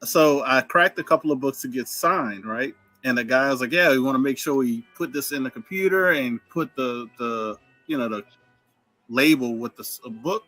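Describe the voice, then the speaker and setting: A man joining a casual live stream, telling stories in an animated way and laughing easily. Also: medium pitch, moderate pace, faint music behind.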